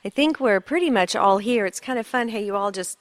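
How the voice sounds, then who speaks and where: A woman's voice: medium pitch, animated, brisk, no echo. A middle-aged woman from Sweden, a pastor's wife giving a heartfelt, encouraging talk and prayer at a women's conference. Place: church conference hall.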